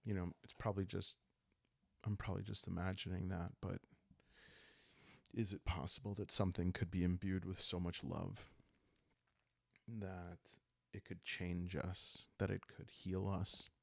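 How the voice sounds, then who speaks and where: Slow, deep-voiced man in calm ASMR narration. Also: creaky, no room sound. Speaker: host and solo roleplayer. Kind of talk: calm ASMR narration of a dark journaling game.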